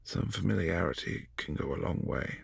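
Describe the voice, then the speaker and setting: A man voicing a gravelly, husky vampire prince. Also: sits low, animated, moderate pace, no room sound, heavily acted. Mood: calm, concerned. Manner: soft, hushed, often whispering. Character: brooding possessive vampire prince, adult male. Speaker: voice actor in audio drama. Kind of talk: boyfriend ASMR audio drama.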